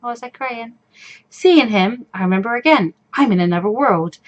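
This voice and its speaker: A woman's voice: a little high, lively intonation, normal speed, highs cut off, lightly acted. A woman voicing an anxious, introspective fourteen-year-old girl in a visual novel, with a hesitant, musing manner. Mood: troubled, musing. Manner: hesitant, musing inner monologue. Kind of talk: visual novel read-aloud.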